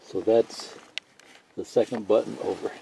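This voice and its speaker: A man giving a casual, unscripted how-to tutorial, thinking out loud in a halting, folksy way and reading bits of instructions aloud. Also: on the low side, vocal fry, a little hiss or hum. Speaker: hobbyist instructor. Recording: muffled lo-fi sound, roomy echo.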